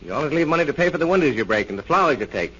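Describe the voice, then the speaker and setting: Stern old-time radio police captain, quick clipped orders, husky voice, muffled 30s recording. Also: male voice, on the high side, expressive, a bit bright. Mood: stern, commanding. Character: shrewd, stern American police captain of detectives. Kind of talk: 1930s radio drama acting.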